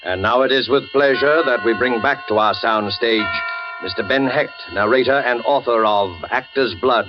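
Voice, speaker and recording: A male announcer with a mid-pitched voice makes formal, dramatic introductions in 1940s radio style. Loud music plays, and the recording sounds muffled. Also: normal speed.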